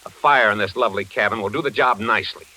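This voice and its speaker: An actor playing a devious, smooth and menacing villain in a 1940s radio thriller. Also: male voice, on the low side, fast, natural voice. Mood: menacing, desperate. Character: devious male villain, ex-FBI man posing as ally. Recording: noisy old radio recording.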